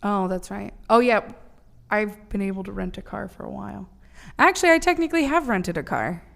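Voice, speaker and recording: A woman's voice: mid-range voice, lively intonation, fairly quick, vocal fry. A woman in her late twenties co-hosting a podcast, chatting casually with a teasing, big-sisterly manner and free swearing. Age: 20s. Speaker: podcast co-host. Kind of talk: casual chat.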